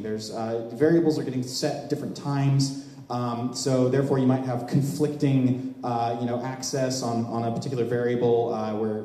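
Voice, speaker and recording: A man giving a live demo talk to an audience as a software presenter, explaining in a halting way. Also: medium pitch. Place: large open indoor event space, on a small stage before a seated audience. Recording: echoey hall with long reverb.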